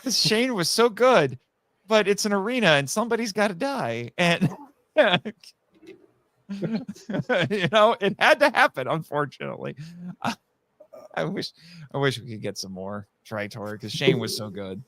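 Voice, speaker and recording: A man's voice: sits high, expressive, measured pace, fairly bright tone, no room sound. A man talking casually and eagerly as a guest on a fan podcast, explaining things at rambling length. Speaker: podcast guest, audio drama writer. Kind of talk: animated casual fan discussion.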